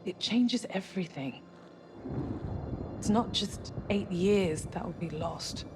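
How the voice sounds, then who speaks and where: An actress playing a troubled, conscientious space crew member in a sci-fi game, speaking earnestly and hesitantly. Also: female voice, lowish voice, slow pace, noisy background. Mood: torn, anxious. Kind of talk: cinematic sci-fi game acting. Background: faint cinematic music.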